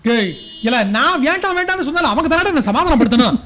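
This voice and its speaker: A man acting as a flustered, lovestruck young college professor in a comic radio drama, excitable and prone to stammering. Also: very high-pitched, fast talker. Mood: indignant, defensive. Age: young adult. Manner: excitable, stammering when nervous.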